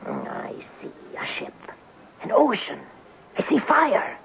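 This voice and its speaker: Creaky, high-voiced fortune-teller woman. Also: huge pitch swings, a bit bright, exaggerated voice. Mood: entranced, dramatic. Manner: halting, melodramatic, drawn-out mystical pronouncements. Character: eccentric theatrical Egyptian palmist fortune-teller woman, greedy and mystical. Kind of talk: 1970s radio drama acting. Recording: dull, slightly noisy 1970s radio recording.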